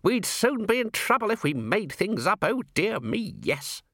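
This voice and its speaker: Fussy little old herald, really high voice, eager n polite, up and down, storybook. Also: male voice, big pitch swings, measured pace, a bit bright, dry recording, clean studio sound, full character voice. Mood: indignant, fussy.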